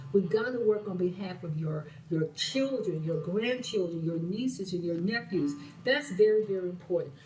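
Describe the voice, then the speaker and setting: Slightly raspy woman hosting, earnest and repetitive, recorded roomy and far from the mic, soft music under her voice. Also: mid-range voice, slight background noise. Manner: earnest, repeats phrases for emphasis. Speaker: show host. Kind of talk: spoken commentary to camera. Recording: roomy sound from a distant mic with faint music.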